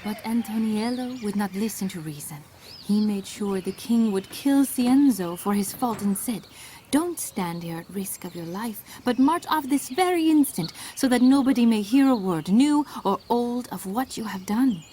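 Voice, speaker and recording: An actress plays a young village cousin reading a storybook aloud to children in an audio drama. Her voice is high and soft-edged, briskly delivered over faint ambient birdsong. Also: expressive, a little hiss or hum, lightly acted. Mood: storytelling.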